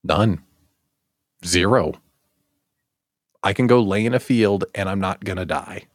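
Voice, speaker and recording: A man's voice: low voice, measured pace, no echo, studio quality. An American man hosting a casual live show, chatting playfully to his audience and repeating words for emphatic comic effect. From United States. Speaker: host of a daily live show for small business owners.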